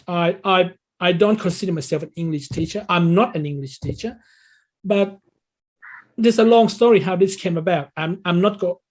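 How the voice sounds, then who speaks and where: A man in his sixties from Thailand, a native Thai speaker, answering interview questions conversationally and explaining things as a coach would. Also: high-pitched. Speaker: interview guest, English learning coach.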